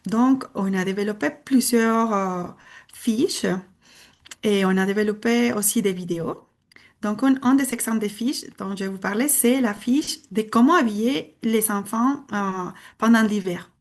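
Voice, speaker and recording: A woman's voice: mid-range voice, unhurried. Woman presenting. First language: Spanish. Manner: earnest, explanatory, hesitant. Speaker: conference speaker. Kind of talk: conference presentation.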